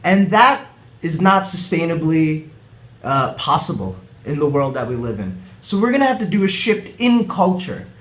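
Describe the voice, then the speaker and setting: A man, a startup co-founder giving an earnest pitch with rhetorical questions. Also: sing-song, moderate pace, fairly bright tone. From United States. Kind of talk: scripted pitch video. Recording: echoey room, faint music behind.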